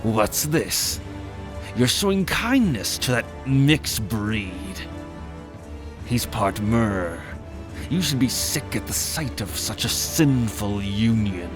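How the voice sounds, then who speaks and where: A man's voice: on the low side, very animated, takes it slowly, music in the background. A man playing a cruel, arrogant merman prince in a fantasy audio drama, taunting with haughty contempt. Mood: mocking.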